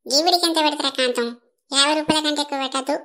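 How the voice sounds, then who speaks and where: Chatty, haggling neighbour with a Telangana accent. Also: female voice, high voice, fairly even intonation, racing, pushed and bright. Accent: Telangana Telugu. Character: bargaining village neighbour woman.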